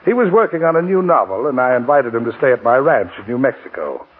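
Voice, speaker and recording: An actor playing a candid, genial middle-aged lawyer telling a story in 1940s radio drama style. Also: male voice, medium pitch, very animated, quick pace, slightly rough. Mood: candid, confiding. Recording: tinny, band-limited 1940s radio broadcast, music.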